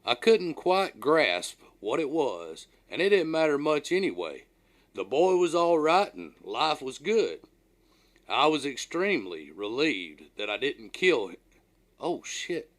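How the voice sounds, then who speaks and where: A man's voice: on the high side, very animated, measured pace. A man reading his own first-person memoir as an audiobook, in a folksy, dramatic storytelling manner.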